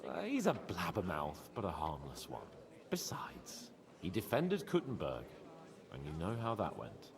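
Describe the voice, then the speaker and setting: A man's voice: low-pitched, huge pitch swings, unhurried, gravelly, a crowd in the background, exaggerated voice. An actor playing a jovial, teasing medieval nobleman in video game dialogue, breezy and fond of life's pleasures.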